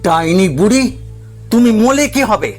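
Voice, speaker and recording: A male narrator puts on an angry young man in an audio story, mocking and defiant. The voice is pushed high, with music underneath. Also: sing-song, unhurried. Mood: spiteful taunting. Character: angry, taunting young man defying his aunt.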